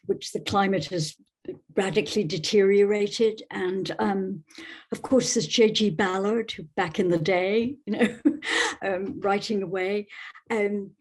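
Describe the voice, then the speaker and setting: A woman's voice: mid-range voice, unhurried. A woman, speaking as a guest novelist in thoughtful literary talk and reading. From Scotland.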